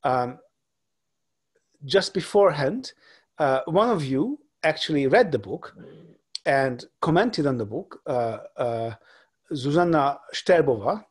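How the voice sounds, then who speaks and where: A man in his forties from Hungary, giving an online lecture from a home room over a laptop webcam, talking haltingly with many fillers and restarts. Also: fairly high pitch, lively intonation, slightly rough. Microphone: laptop webcam on video call.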